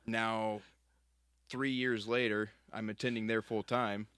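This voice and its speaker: A man with a crisp, bright voice at an average pitch, hosting a casual interview. His delivery is informal and a bit halting, captured with a dry, close sound. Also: level tone, no room sound. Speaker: podcast host.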